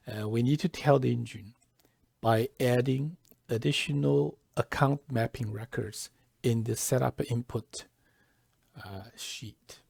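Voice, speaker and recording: A man presenting a software tutorial step by step, halting and deliberate, often pausing mid-phrase. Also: medium pitch, vocal fry, slightly rough, dry recording. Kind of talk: step-by-step tutorial narration, lightly scripted.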